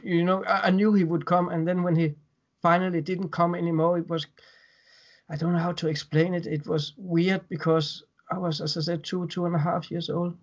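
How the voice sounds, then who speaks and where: A Danish-accented man in his thirties talks in a slightly high voice, stumbling and repeating words as he goes. He sits at home on a video call. The sound is dull and lo-fi. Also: flat delivery, moderate pace. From Denmark. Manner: hesitant, repeats words while recounting. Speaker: guest. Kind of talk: casual interview over video call. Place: home room indoors. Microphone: webcam on video call.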